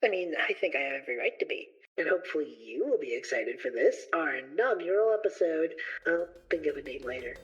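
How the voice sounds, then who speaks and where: A woman playing an excitable young male student adventurer, breezy and showy, in an audio drama. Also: sits low, fast talker, breathy edge, muffled recording, heavily acted. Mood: excited, showy.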